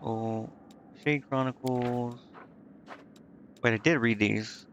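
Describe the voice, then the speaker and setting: A man's voice: medium pitch, fairly even intonation, takes it slowly, highs cut off, a little hiss or hum. A man streaming a video game, thinking aloud in a casual, offhand and indecisive way. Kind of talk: casual live gameplay commentary, thinking aloud.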